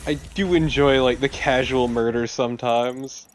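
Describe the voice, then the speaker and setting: A man's voice: medium pitch, fairly even intonation, moderate pace, slight background noise. A man chatting casually as a co-commentator on a game, joking and swearing freely. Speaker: let's play co-commentator. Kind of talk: casual chat over gameplay.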